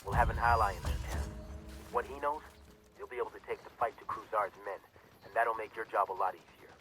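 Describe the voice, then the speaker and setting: An actor playing a brisk intelligence handler, giving businesslike, instructive briefings in a military video game. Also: male voice, lowish voice, steady tone, sharp tone, soft background music.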